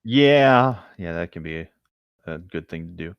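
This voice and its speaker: A man's voice: low-pitched, lively intonation, measured pace, creaky, clean tone, no room sound, dull audio. A man co-hosting a story-reading show, speaking in an informal, conversational style. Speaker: co-host reading online stories. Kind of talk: casual chat and story reading.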